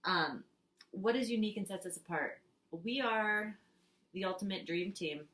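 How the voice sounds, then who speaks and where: Giggly woman with a bright voice. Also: mid-range voice, moderate pace, reverberant, slight background noise. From Switzerland. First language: Swiss German. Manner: giggly, jokey, fills gaps with "um". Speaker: contestant. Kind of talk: casual audition tape. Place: small apartment at home.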